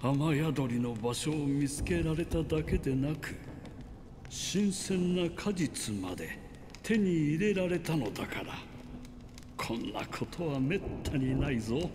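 An actor playing a courteous, philosophical, gently curious man in a Japanese video game, measured and theatrical in manner. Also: a little high, expressive, long reverb tail, slight background noise.

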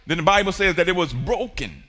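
A man in his forties preaching a sermon on a large church stage, building to shouts and calling on the congregation to answer. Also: high-pitched, lively intonation, fairly quick. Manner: preaching with rising shouts and call-and-response. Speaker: pastor. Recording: long hall reverb.

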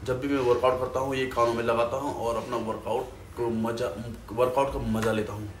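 A man's voice: mid-range voice, little pitch movement, a little fast, a little hiss or hum. A man in his thirties talking casually to his phone camera in a fitness vlog, explaining his routine step by step and slipping English phrases into his talk. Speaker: fitness vlogger. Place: small room at home, then a gym. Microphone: phone camera at arm's length. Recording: echoey room with music behind.

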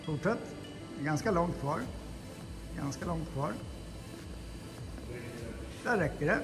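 Upbeat high-voiced man in echoey workshop. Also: lively intonation, music in the background. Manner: upbeat, encouraging, coaching. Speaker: vlog host, garage owner. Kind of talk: casual workshop vlog chat. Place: large car workshop, indoors.

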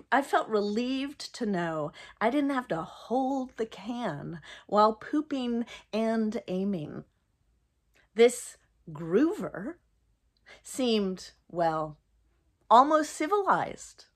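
A woman in her fifties reading her own first-person story aloud expressively, with measured delivery and dramatic pauses. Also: mid-range voice. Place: small indoor room, plain wall.

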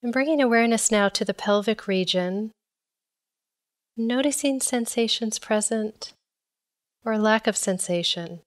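A woman leading a guided body scan meditation in a calm, measured, instructional way. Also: fairly high pitch.

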